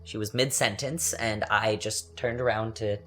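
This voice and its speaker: A man acting as a bitter, taunting young man in a confessional dramatic monologue, with a sardonic, halting, conversational delivery. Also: lowish voice, fairly even intonation, pushed and bright. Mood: hurt, simmering. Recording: music under the voice. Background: music.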